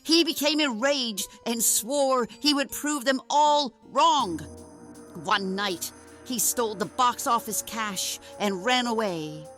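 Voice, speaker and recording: A woman's voice: fairly high pitch, fairly bright tone, faint music behind. A woman playing a solemn, wise carnival ringmaster in an audio drama, telling tales in a grave, formal manner. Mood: grave storytelling. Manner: grave, deliberate, formal storytelling.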